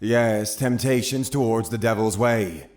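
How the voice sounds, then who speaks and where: A man's voice: lowish voice, a little slow, darkish tone, close to an everyday voice. An actor playing a formal, probing witch-trial interrogator in an audio drama, accusatory in manner. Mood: formal accusing.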